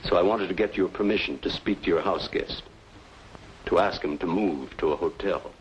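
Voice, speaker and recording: Casting: male actor for deep-toned, stiffly formal physician, grave and hesitant; noir radio play on dull vintage audio. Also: moderate pace, lightly acted. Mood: grave, cautioning. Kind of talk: old-time radio noir drama acting. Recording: dull, noisy old radio recording.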